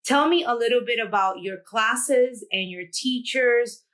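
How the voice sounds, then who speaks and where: A woman with a fairly high voice hosts a casual interview podcast. She asks open questions and encourages her guest. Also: measured pace, a bit bright. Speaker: podcast host, school board member.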